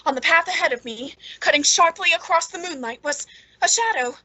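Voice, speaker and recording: A woman's voice: very high-pitched, brisk, bright, forceful tone, dry recording, rough recording. A woman playing a cold, gleeful murderess who narrates and speaks in a theatrical radio suspense drama. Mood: gloating confession.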